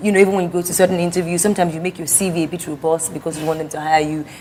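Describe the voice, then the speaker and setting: A woman's voice: on the low side, little pitch movement, rapid-fire, warm tone, a little hiss or hum. A woman with a Nigerian accent chatting casually as a panelist on a talk show. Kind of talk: casual, lively banter.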